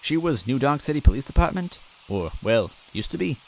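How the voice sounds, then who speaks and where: A man's voice: medium pitch, big pitch swings, fairly quick, creaky, a little hiss or hum, rough recording. A man reading a fan story aloud in first person, earnest and timid in tone. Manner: earnest and timid, self-deprecating. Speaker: story reader.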